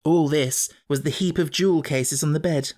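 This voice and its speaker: A man's voice: fairly high pitch, steady tone, moderate pace, darkish tone. Guy narrating a kids audiobook, scripted storybook reading.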